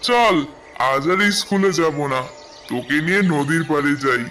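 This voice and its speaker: A man's voice: fairly high pitch, expressive, moderate pace, recorded from a distance, noisy background, lightly acted. A man voicing a joking young male monkey in a cartoon, a loyal and teasing friend. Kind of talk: cartoon dialogue dub.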